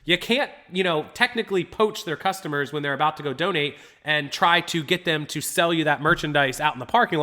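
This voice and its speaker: A man's voice: a little high, bright, forceful tone. Upbeat, stuffy-nosed male livestream host. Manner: upbeat, chatty, greets viewers by name. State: congested, a little stuffy. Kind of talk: casual live-stream talk to chat.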